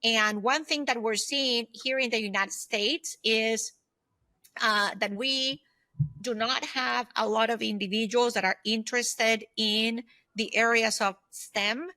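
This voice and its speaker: Female, 50s, interview guest. Bright, forward voice, slightly high, dry close sound, earnest explainer. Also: fairly even intonation, takes it slowly, no room sound. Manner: earnest, explanatory, often checks in with "right?". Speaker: podcast guest, consultant and former aerospace executive. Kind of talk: conversational interview answers.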